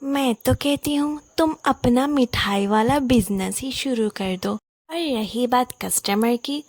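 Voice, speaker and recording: Cartoon neighbour voiced by a woman: high voice, animated, insistent, encouraging, slow delivery, dry recording. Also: dry recording. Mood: effusive, encouraging. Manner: animated, coaxing, effusive. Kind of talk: Hindi children's cartoon story acting.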